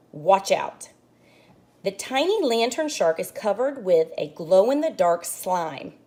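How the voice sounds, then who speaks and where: A woman teacher reading a children's book aloud, explaining pages to young students as she goes. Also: medium pitch. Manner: expressive storybook reading with asides to kids. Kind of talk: children's read-aloud lesson.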